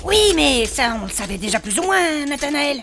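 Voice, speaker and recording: A woman's voice: high-pitched, big pitch swings, normal speed, gravelly, fairly bright tone, faint music behind, heavily acted. A woman voicing an easily exasperated, flustered warrior woman in a comic fantasy audio drama. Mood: impatient. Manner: hesitant, defensive, scolding.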